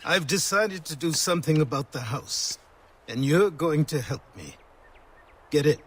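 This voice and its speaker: An actor playing a weary, stern older mentor in a video game, measured and commanding as he instructs. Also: on the high side, animated, slight background noise. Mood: stern, reflective. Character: weary, stern old mentor, worldly and guarded man. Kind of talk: video game cutscene acting. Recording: loud background music.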